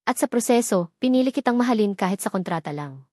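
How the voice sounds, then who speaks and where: A heartbroken young man begging softly, voice low and near a whisper, read by a woman narrator in the same plain tone. dry, close, no room at all. it's a storybook reading, the guy speaks carefully and sadly. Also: expressive, quick pace, no room sound, natural voice. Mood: quiet sorrow. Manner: quiet, pleading, nearly whispering. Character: desperate young man pleading with his love.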